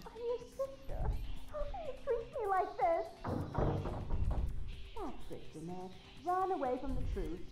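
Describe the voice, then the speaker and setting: An actress playing bickering, spiteful vampire sisters in a video game, melodramatic and scornful, heard muffled through a door. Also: female voice, high-pitched, a little mellow, roomy sound, heavily acted.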